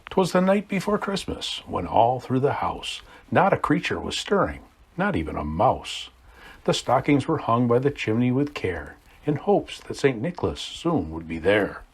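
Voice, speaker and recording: A man reading a classic Christmas poem aloud, with dramatic swings between hushed low lines and loud calls. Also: husky. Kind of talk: expressive storybook read-aloud.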